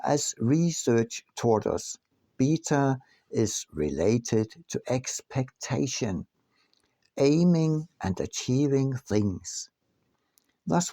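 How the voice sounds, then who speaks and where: A man narrating his own audiobook from a script in a deliberate, emphatic manner. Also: mid-range voice, dark tone, no echo. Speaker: author reading own book.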